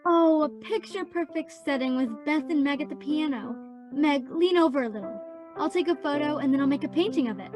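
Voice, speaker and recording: A woman acting a spirited, petulant young girl who loves art and has a sharp tongue, in a radio play. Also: sits high, brisk, over music, natural voice. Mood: bossy, bright. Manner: petulant, sarcastic.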